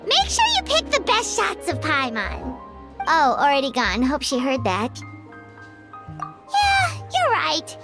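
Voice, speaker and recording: A woman's voice: high voice, wildly swooping pitch, takes it slowly, heavily acted. A woman voicing a small, childlike, chatty fairy companion in a video game, bubbly, with music behind her. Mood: chatty, curious. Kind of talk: video game character acting.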